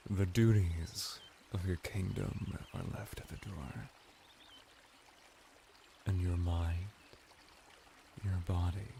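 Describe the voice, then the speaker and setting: A man's voice: low-pitched, creaky, dark tone, slight background noise, heavily acted. A man playing a flirty, deferential merman bathhouse attendant in an ASMR roleplay, teasing and soothing as he talks.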